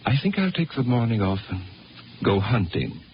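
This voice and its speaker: An actor playing a jealous, controlling Martian husband in 1950s radio drama, curt and probing. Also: male voice, lowish voice, very animated, normal speed. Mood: subdued, brooding. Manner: probing, curt, bad-tempered. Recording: noisy, dull 1950s radio recording.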